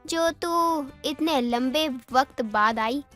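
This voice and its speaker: A woman's voice: high voice, slow, a bit bright, soft background music. A woman playing a young college student in a cartoon story, scolding and teasing with sarcastic slang. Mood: annoyed, sarcastic. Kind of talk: Hindi animated moral story dialogue.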